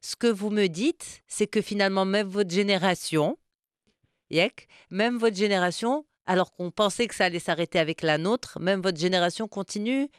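A woman hosting a podcast who interviews her guest with lively, probing questions. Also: medium pitch, normal speed, clear, resonant tone, no echo, clean studio sound. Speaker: podcast host.

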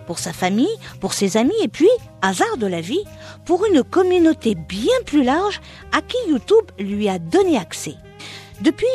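A woman host reading a scripted introduction and asking interview questions in an expressive presenter manner. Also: a little high, husky. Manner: lively, expressive presenter delivery.

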